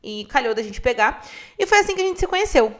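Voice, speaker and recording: A young woman with a Carioca accent and a slightly high voice, talking to her audience as a podcast host. She is chatty and expressive and keeps speaking to listeners directly while she tells her own experiences. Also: quick pace. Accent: Carioca Brazilian Portuguese. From Rio de Janeiro, Brazil. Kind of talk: casual storytelling monologue.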